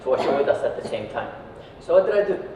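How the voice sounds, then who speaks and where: A man's voice: mid-range voice, very animated, quick pace, mellow, distant mic. Man speaking in a very echoey room. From Iraq. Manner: conversational, peppers sentences with tag questions like right and yeah. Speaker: speaker giving a talk, company founder. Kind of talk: informal motivational talk to a live audience. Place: echoey indoor venue, recorded at a distance. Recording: echoey room from afar, dull, faint noise.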